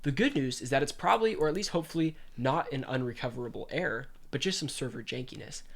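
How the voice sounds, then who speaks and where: This is a young man with a stuffy, congested voice, chatting animatedly as he hosts a podcast. His voice has a gravelly fry running through it, and he moves from casual banter to reading gaming news aloud. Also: mid-range voice, lively intonation, quick pace. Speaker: podcast host.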